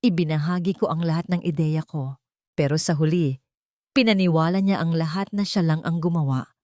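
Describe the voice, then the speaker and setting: A woman's voice: low-pitched, a little fast, dark tone, no room sound, studio quality, lightly acted. A woman voicing a bitter, betrayed man confiding in a narrated story. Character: bitter betrayed man recalling a rival's deceit.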